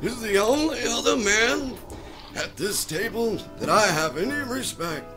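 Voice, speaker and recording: Radio-play villainous old aristocrat: very high, swooping voice, haughty, bitter, frail. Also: male voice, animated, a little slow, over music. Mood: dramatic, bitter. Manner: haughty, sputtering, theatrical. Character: sick, bitter, imperious old rich man near death. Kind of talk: comic radio-play acting.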